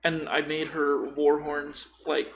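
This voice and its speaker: Higher-pitched man with a gravelly creak. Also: little pitch movement, takes it slowly, low-quality recording. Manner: informal, rambling, peppered with swearing. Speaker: podcast co-speaker. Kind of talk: casual podcast chat about tabletop role-playing.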